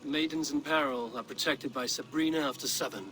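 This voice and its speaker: A man's voice: mid-range voice, fairly quick, noisy background, lightly acted. A man playing a proud, blunt Norse warrior in a video game, speaking in terse, formal phrases. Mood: composed, formal. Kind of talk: dramatic video game acting.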